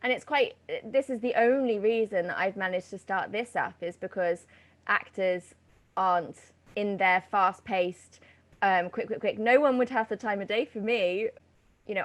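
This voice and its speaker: A woman speaking casually as the host of an interview chat. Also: mid-range voice. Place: remote online video chat.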